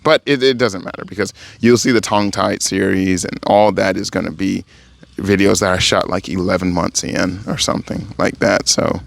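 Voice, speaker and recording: A man's voice: sits low, fairly even intonation, moderate pace, vocal fry, dry recording. A man giving a casual, rambling vlog update on his language learning, often correcting himself and then turning motivational. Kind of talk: casual spoken update to camera. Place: home in Thailand.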